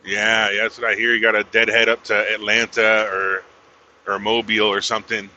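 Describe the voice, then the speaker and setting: A man's voice: lowish voice, little pitch movement, brisk, pushed and bright, muffled recording. A man in his thirties, a truck driver chatting casually to a live stream from his cab as he drives, laid-back and drawling, saying "man" a lot. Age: 30s. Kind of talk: casual livestream chat while driving. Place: inside a truck cab on the road.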